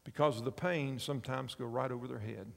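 A pastor in his fifties preaches with a gravelly, creaky voice, recounting a story earnestly and checking that his listeners follow. The sound rings out with the long reverb of a big hall. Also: medium pitch, brisk. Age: 50s. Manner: earnest storytelling, asks the congregation along. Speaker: pastor and chaplain. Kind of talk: sermon.

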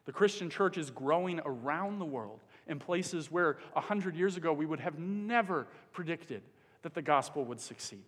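Earnest male preacher, from the Dominican Republic, a bit high-pitched, echoing hall. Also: expressive, fairly bright tone, husky. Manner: earnest, pauses with fillers. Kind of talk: sermon. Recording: long hall reverb.

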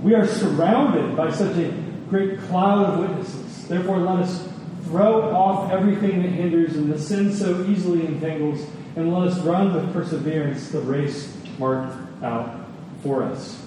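A man preaching an expository sermon, earnest and emphatic, with dramatic pauses and repetition. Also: on the high side. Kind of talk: expository sermon with scripture reading. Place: large reverberant indoor hall. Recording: distant, echoey large hall, faint noise.

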